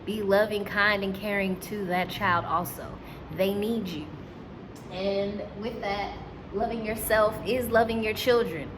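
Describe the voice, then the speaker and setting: A woman teacher hosting a children's storytime, speaking to young listeners and caregivers in an animated, encouraging way. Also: medium pitch, a little slow, noisy background.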